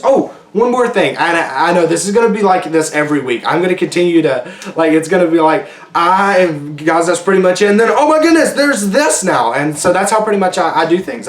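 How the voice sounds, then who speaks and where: A slightly high-pitched male podcast host talks loosely and off the cuff, wandering between thoughts with plenty of ums. His voice is wearing thin and hoarse. The sound bounces around an echoey room. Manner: rambling, loose and chatty with many ums and restarts. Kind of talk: casual solo podcast chat.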